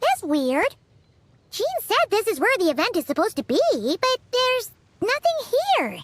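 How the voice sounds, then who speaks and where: A woman voicing a tiny, childlike fairy companion in a video game, excitable and cheeky, with a squeaky voice. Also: measured pace, dry recording, exaggerated voice. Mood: puzzled. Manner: exclamatory, expressive, refers to self by name.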